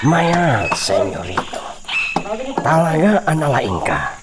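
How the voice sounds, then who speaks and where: A man playing an eager, obedient henchman in an Ilocano radio drama, excitable, with an Ilocano accent. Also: medium pitch, takes it slowly, heavy background noise. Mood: servile. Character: eager, servile henchman of a cruel master. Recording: loud background music.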